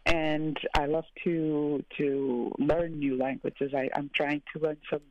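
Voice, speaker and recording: Woman from Portugal, guest musician; gravelly voice, halting chat, dull dry remote line. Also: sits low, level tone, a little hiss or hum. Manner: halting, many fillers and restarts, laughs often. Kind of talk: casual interview.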